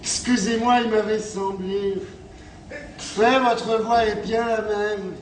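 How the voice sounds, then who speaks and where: A man's voice: high-pitched, fairly even intonation, slow, a little hiss or hum. An actor playing a fretful, anxious man who fears he will change, pleading and insistent in a stage play. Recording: echoey stage from far off, music.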